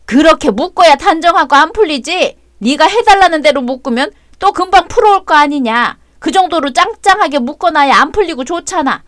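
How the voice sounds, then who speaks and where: A woman's voice: high-pitched, lively intonation, a little fast, few pauses, a bit bright, no echo, studio quality. A woman voicing a stern old grandmother who scolds in a coarse, nagging way. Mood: scolding.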